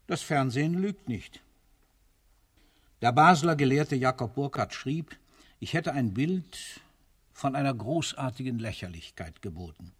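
Haughty, sardonic aging general. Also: male voice, mid-range voice, animated, measured pace, husky. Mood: wry, self-mocking. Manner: haughty, declamatory, curtly commanding. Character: imperious, sardonic aging general, a self-styled Napoleon. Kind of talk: theatrical radio drama acting.

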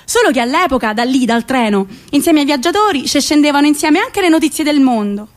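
A woman with a Roman, central Italian accent, telling a story theatrically in an expressive, dramatic manner. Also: high voice, a little fast. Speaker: stage actress narrating a monologue. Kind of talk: theatrical storytelling, solo radio play.

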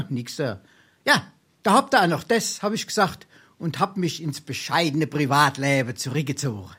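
Boozy boastful old-time hessian windbag, halting then grandiose, wheedling, stage comedy. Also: male voice, on the high side, lively intonation, sharp tone, slightly rough, heavily acted. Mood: ingratiating, self-important. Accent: Darmstadt Hessian. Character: boastful, down-at-heel male tippler spinning tall tales. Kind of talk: theatrical dialect comedy acting.

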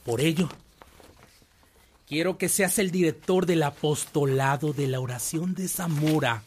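A male actor playing a kindly, solemn senior priest, husky and measured, in a radio drama. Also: fairly high pitch, a little hiss or hum, natural voice. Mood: solemn, affectionate. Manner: measured, paternal.

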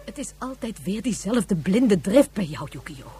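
A woman's voice: mid-range voice, big pitch swings, measured pace, warm tone, soft background music. An actress playing a reproachful mother in distress in a science-fiction radio play, earnest and emphatic. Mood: angry reproach. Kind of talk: Dutch science-fiction radio drama acting. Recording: radio play with faint background hum.

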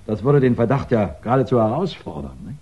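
Probing police inspector, lively intonation. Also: male voice, mid-range voice, breathy edge. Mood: pondering, probing. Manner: deliberate, polite, questioning, with thoughtful pauses. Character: probing, courteous male Scotland Yard police inspector. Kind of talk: 1960s German radio crime drama acting. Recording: dull, band-limited 1960s radio recording.

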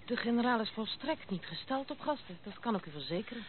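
A woman's voice: on the high side, lively intonation, fast, natural voice. An actress playing a proud, outspoken young noblewoman in a Dutch radio play, with a brusque, mocking manner. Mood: brusque, wary. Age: 20s. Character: blunt, proud, unconventional young noblewoman of twenty-six. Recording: tinny band-limited old radio play recording, noisy.

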